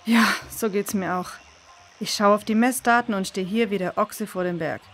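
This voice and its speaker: A woman playing a calm, confident river researcher in a children's audio drama, matter-of-fact and reassuring. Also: medium pitch, normal speed, soft background music, lightly acted. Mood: pondering.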